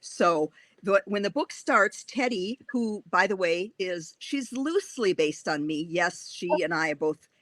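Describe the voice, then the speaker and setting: A woman's voice: lowish voice, lively intonation, measured pace, a bit bright, no room sound. A woman in her sixties from Wisconsin, with a Wisconsin accent, chatting enthusiastically as an author guest at a bookstore talk. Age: 60s. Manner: chatty, enthusiastic, laughs often.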